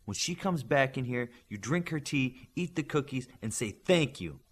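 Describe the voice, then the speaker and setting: A man voicing a brisk, bossy young Japanese superheroine in an audiobook, speaking in an assertive, instructing way. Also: mid-range voice, lively intonation. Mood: scolding, insistent.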